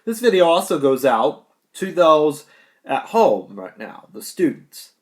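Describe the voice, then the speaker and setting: Higher-voiced young American man giving a speech. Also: big pitch swings, measured pace. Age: young adult. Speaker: graduating high school student giving a speech. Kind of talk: prepared graduation speech.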